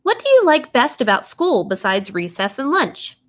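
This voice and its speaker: A woman reading a children's bedtime story aloud in an expressive, animated way, putting questions to young listeners. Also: sits high, normal speed.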